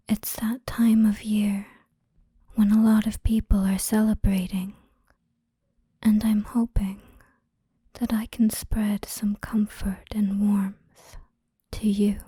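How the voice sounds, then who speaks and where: A woman guiding a hypnosis relaxation in a soothing, gentle, breathy whisper, unhurried and with frequent pauses, close to the microphone. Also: medium pitch, flat delivery, dry recording. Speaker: hypnosis guide. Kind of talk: whispered ASMR guided relaxation storytelling.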